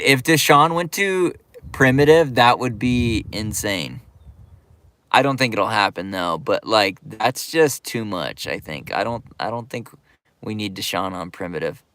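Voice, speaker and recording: Chill skate youtuber guy reading chat out loud on stream, lots of um and like, dry close mic. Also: lowish voice, no room sound. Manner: laid-back, reads viewer comments aloud, lots of fillers. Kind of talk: casual livestream chat.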